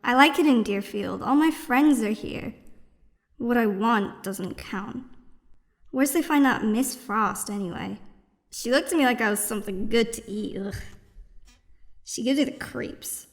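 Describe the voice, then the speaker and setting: A woman voicing an anxious, sarcastic thirteen-year-old suburban girl in an audio drama, with an expressive, slangy delivery. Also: on the high side, a little slow. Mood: fretful, muttering.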